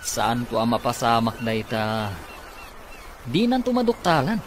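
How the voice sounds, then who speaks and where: An actor playing a smug, greedy schemer in an Ilocano-accented radio drama. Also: male voice, mid-range voice, sing-song, unhurried, slight background noise. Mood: smug, menacing. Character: smug, greedy male schemer waiting to take a mansion. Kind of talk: Filipino radio comedy-drama villain acting.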